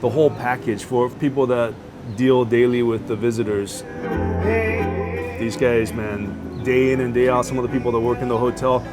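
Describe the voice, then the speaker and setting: A man's voice: medium pitch, little pitch movement, normal speed. A man who works with visitors at the beach, talking casually with local slang in an interview. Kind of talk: interview talk. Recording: music bed underneath.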